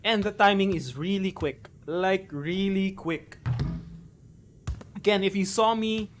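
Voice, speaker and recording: A man in his thirties with a Filipino accent, talking through a game tutorial and chatting with viewers on a live stream, in a relaxed, chatty way with many fillers. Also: sits high, slow pace, soft background music. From Philippines. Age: 30s. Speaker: gaming streamer.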